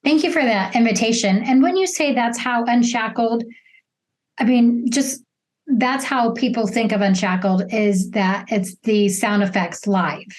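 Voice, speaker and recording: A woman's voice: on the high side, unhurried. A woman hosting an interview in a casual, conversational way. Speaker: interview host.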